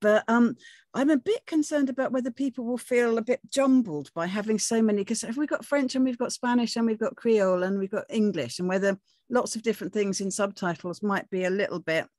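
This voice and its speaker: Tentative woman, mid-pitched and dry. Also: fairly quick, darkish tone, dry recording. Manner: tentative, hedging. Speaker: script reading panellist. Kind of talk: casual spoken feedback.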